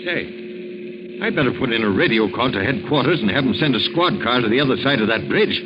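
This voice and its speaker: An actor playing a gruff, tough-talking police officer with slangy speech in an old radio drama. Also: male voice, medium pitch, animated, brisk, natural voice. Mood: businesslike. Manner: slangy, hard-boiled cop talk. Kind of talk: 1940s radio acting. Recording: noisy, dull 1940s radio recording.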